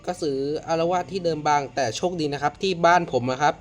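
A man from Thailand hosting a casual horror reaction video, excitable and full of exclamations. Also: a little high, fast talker, very noisy. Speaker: video host.

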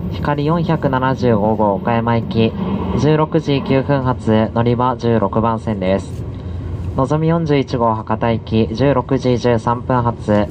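Male conductor, formal and formulaic, reading out announcements over a train PA amid loud carriage noise. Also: medium pitch. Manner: formal, formulaic, clipped phrases. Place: inside a moving train car. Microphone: train PA, recorded from a passenger seat. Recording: noisy onboard PA captured in train car. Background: loud train running noise.

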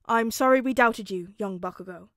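A woman voicing an indulgent, kindly grown man who teaches heroes, in a mild, measured storytelling delivery. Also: on the high side, fairly bright tone, no echo, clean studio sound, lightly acted. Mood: kindly, apologetic.

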